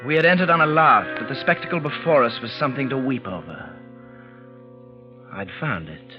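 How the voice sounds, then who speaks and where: An actor playing a restless young English gentleman who narrates in a measured, literary, dramatic radio style. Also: medium pitch, lively intonation, close to an everyday voice. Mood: grave, haunted. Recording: muffled vintage radio recording. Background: music.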